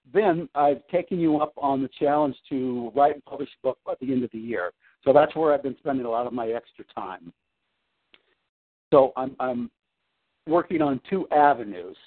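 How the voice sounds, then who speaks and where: A man on a phone call from home, talking about his work in a rambling way full of restarts and fillers. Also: mid-range voice. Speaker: client on a coaching call. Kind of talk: casual phone conversation. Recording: tinny phone line.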